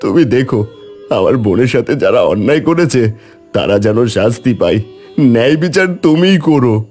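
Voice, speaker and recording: Man voicing an earnest, emotional grieving village brother, animated swings. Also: mid-range voice, moderate pace, faint music behind, close to an everyday voice. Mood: grieving, prayerful. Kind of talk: animated folk tale dialogue.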